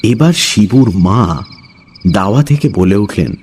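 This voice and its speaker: Slow, animated male horror storyteller. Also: fairly low pitch, a little hiss or hum. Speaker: storyteller, narrator. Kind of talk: dramatic scripted horror story narration.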